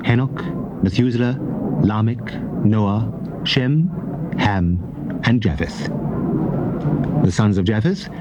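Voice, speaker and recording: A man narrating scripture as a scripted dramatic reading, reciting deliberately in short, measured phrases on an old cassette recording. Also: lowish voice. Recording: noisy old cassette tape transfer.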